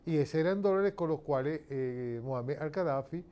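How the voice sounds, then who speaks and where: A Venezuelan man speaks as a political commentator giving an informal lecture. His voice sits a little high, with a soft, mellow tone. He explains emphatically, often posing rhetorical questions and checking that people follow. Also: normal speed.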